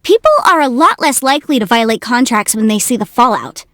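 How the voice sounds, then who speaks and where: A woman voicing a young, quick-witted half-immortal legal adviser in a video game, articulate, self-assured and playful. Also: on the high side, expressive, fairly bright tone, no echo, studio quality. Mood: firm, earnest. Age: young adult. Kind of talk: video game character voice lines.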